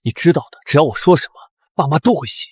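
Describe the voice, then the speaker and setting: A spoiled teenage brother in a short drama. His voice is high and lively, sly and taunting, with a dry, close sound. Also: quick pace, breathy edge, no echo. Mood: sly, threatening. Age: young adult. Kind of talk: melodramatic short-drama acting.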